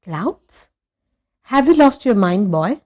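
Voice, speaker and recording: A woman voicing a brusque, impatient mother in a story, sharp and bossy with her young son. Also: mid-range voice, big pitch swings, slow pace. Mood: scolding, impatient.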